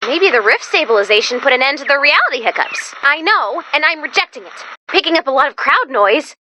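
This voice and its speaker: Game role: neurotic brainy female robot, high-pitched and very lively, expressive, easily exasperated. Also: a little hiss or hum, full character voice, rough recording. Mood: wry, casual. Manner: expressive, exasperated, quick to react. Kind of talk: animated video game voice acting.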